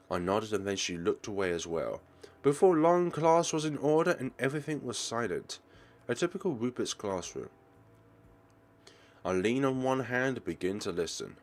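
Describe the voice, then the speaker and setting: A man hosting a let's play, reading visual novel narration aloud in a theatrical, expressive way. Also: mid-range voice.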